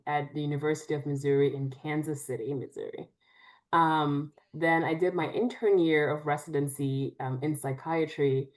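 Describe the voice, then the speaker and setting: A woman's voice: sits low, a little mellow. A young woman from Cameroon, a psychiatrist, answering interview questions with frequent "um"s. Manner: frequent "um" before phrases. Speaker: guest, psychiatrist.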